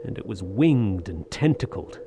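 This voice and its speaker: Slow, haunted soldier of fortune. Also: male voice, low-pitched, sing-song, background noise, heavily acted. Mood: dread. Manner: halting, awestruck, dramatic delivery. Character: shaken soldier of fortune haunted by unearthly horror. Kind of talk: radio drama acting.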